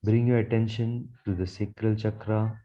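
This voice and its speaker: A man leading a guided meditation in a soothing, measured manner, repeating phrases as he goes. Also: on the low side, flat delivery, lo-fi sound. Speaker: guided meditation leader, Reiki teacher.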